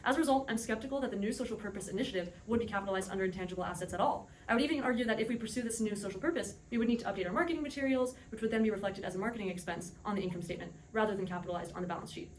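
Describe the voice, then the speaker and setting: A woman's voice: medium pitch, very fast, few pauses, a little mellow, a little hiss or hum, poor audio. A woman making a scripted, formal argument as a businesslike debate panelist. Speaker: debate panelist playing an executive.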